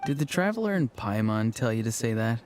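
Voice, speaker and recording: A man's voice: mid-range voice, very animated, fairly quick, darkish tone, slight background noise, lightly acted. A man playing a young rebellious grandson in a video game, hesitant and earnest with a dry edge. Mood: subdued, dry. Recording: music clearly playing behind the voice.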